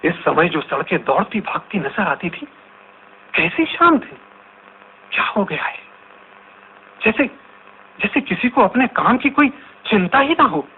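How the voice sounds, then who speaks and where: A man acting in a radio drama as an anxious lone wanderer, musing aloud in a halting, theatrical way. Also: high voice, big pitch swings. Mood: troubled musing. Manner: theatrical, halting, wondering to himself. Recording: tinny noisy narrow-band radio recording.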